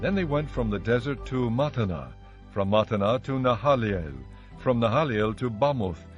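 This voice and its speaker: A man of medium pitch narrates scripture with solemn, measured delivery. His voice is slightly dull in the recording, with music behind it. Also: expressive. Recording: slightly muffled studio track with music.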